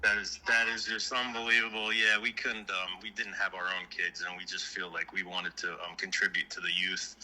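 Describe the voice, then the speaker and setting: A man from southwestern Pennsylvania, a prize winner on the phone, talking haltingly with many fillers and restarts. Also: deep voice, level tone, pushed and bright, low-quality recording. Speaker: caller, giveaway winner. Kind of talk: phone conversation.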